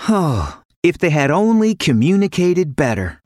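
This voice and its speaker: A woman narrates a children's story with lively, varied intonation. Her delivery is expressive and scripted, in a close, dry recording. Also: deep voice, unhurried, no echo.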